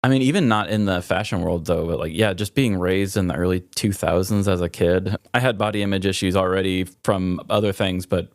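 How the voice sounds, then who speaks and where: Low-voiced American man in casual chat. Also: fairly quick, hardly any pauses, no echo, clean studio sound. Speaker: podcast co-host.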